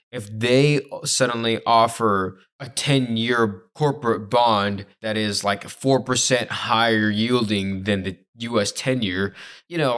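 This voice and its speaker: A man's voice: mid-range voice, a bit bright. A man co-hosting a podcast, explaining in casual chat with an informal, stop-start delivery that often repeats itself. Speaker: podcast co-host.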